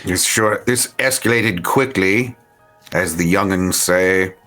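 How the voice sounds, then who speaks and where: A man playing a stern, old-fashioned vampire in a tabletop game, speaking in a deliberate, formal way. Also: low voice, bright, forceful tone, soft background music, heavily acted. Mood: measured.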